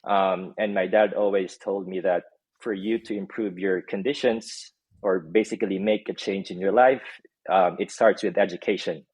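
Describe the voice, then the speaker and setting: A man's voice: low-pitched, steady tone, normal speed, darkish tone, breathy edge, no echo. A man from the Philippines, a startup co-founder telling his story as a guest in a casual interview, with many "um" fillers. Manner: many "um" fillers, laughs while telling stories.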